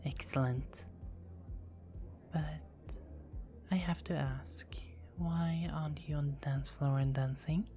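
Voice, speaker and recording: A woman's voice: sits low, steady tone, slow, pauses often, warm tone, faint music behind. Woman whispering as a teasing vampire girl. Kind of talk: ASMR roleplay.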